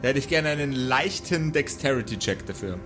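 A man's voice: mid-range voice, animated, fairly quick. A man acting as game master, narrating an improvised tabletop role-play in mock-formal storyteller phrasing, with music behind him. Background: prominent background music.

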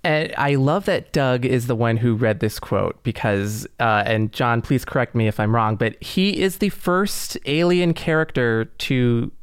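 A man's voice: medium pitch, a little slow, hardly any pauses, clear, resonant tone, no room sound, clean studio sound. A man from suburban Detroit with an American accent, co-hosting a casual podcast. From suburban Detroit, United States. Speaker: podcast co-host.

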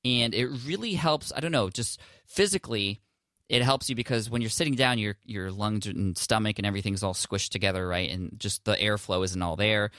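Guy hosting a podcast, kinda low voice, dry close sound, chatty coaching tone, talks while standing up. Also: brisk, fairly bright tone, dry recording. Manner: conversational, coaching, with restarts; standing and gesturing while speaking. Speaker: podcast host. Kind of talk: casual advice to a listener.

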